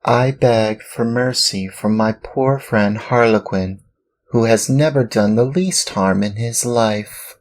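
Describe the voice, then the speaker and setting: A man's voice: medium pitch, takes it slowly, voice pushed up, natural voice. A man reading a storybook and voicing an earnest wooden marionette boy with a raised, pleading voice.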